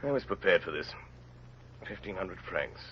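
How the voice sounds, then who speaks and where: A muffled old radio broadcast of a mysterious traveler. His voice is on the low side with expressive swings in pitch. He speaks in terse, measured, resolute phrases. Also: slight background noise. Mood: firm. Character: resolute, mysterious male traveler, quietly commanding. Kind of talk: old-time radio drama acting.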